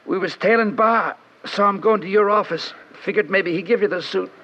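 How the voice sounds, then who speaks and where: High, slangy small-time radio crook. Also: male voice, brisk. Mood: grudging confession. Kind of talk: 1940s-50s radio crime drama acting. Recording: muffled vintage radio recording.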